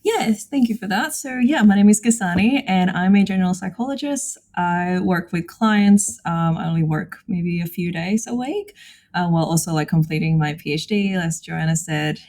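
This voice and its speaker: A woman speaks as a podcast guest. Her voice sits on the low side and has a very mellow, dark tone. She talks casually, in a conversational interview style. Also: animated, moderate pace. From Indonesia.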